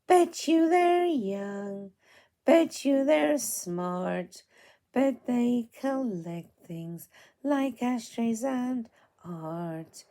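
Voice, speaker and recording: A tired, hoarse woman voicing a hopeful, tender orphan girl from a storybook in a soft, wistful manner. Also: a little high, animated, very slow, voice pushed up, exaggerated voice. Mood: hopeful, singing.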